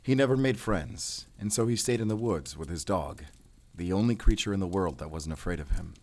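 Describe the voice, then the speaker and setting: A man playing a brooding, world-weary werewolf hunter in an audio roleplay, speaking in a measured, solemn, introspective way. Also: low voice, animated, darkish tone. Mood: solemn storytelling. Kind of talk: cinematic audio roleplay acting.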